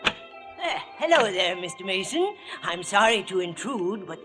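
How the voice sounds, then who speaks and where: An actor playing a fussy, politely businesslike retributionist in 1940s radio style, hesitant and precise, with a husky, high voice for a man. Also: sing-song, unhurried, pushed and bright, soft background music, exaggerated voice. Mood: fussy, patient. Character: fussy, genial, eerily businesslike male retributionist. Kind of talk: 1940s radio mystery acting.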